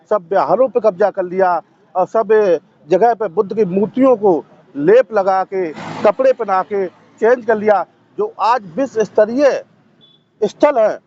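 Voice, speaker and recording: High-pitched man, assertive. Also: darkish tone, highs cut off. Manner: assertive, emphatic, repeating phrases. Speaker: protester interviewed at a sit-in. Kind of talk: impassioned political and religious interview answers.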